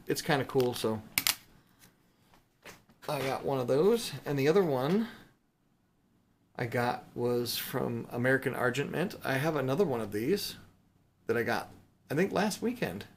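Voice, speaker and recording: A man's voice: medium pitch, very animated, a little slow, pauses often, slight background noise. A man chatting casually and unscripted as a video host showing off items, rambling with mildly playful exclamations. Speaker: video host showing silver bullion purchases. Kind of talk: casual unscripted show-and-tell chat.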